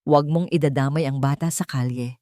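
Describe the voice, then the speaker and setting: A woman voicing a snide, gossiping middle-aged female neighbor with a shrill, scornful manner. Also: fairly even intonation, brisk, mellow, no room sound, clean studio sound, natural voice.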